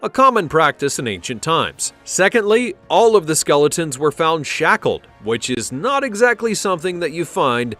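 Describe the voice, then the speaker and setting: A man's voice: on the high side, sing-song, moderate pace, few pauses, sharp tone, faint music behind. A man reading a scripted countdown narration in a dramatic storytelling way, with wry conversational asides.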